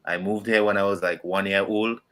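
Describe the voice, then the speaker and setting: Low-pitched Trinidadian man, relaxed interview chat. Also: a little fast. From Trinidad and Tobago. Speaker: guest musician. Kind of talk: casual interview talk.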